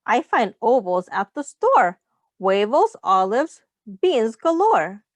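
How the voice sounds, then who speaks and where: A woman reading a picture book aloud to young children as a teacher, explaining simply and pausing to ask questions. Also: a little high, very animated, no room sound.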